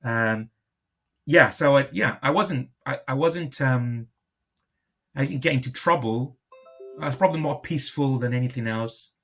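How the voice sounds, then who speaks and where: A man's voice: medium pitch, normal speed, bright, forceful tone, soft background music. A man speaking reflectively in a conversational interview as a guest, often repeating and rephrasing his phrases. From born in Liverpool, moved to Nigeria at five.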